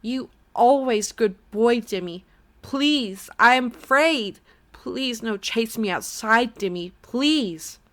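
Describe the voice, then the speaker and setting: A woman's voice: mid-range voice, animated, measured pace, a bit bright, pitched above the performer's own voice. A woman voicing an elderly mother who pleads tearfully in broken English. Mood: tearful pleading.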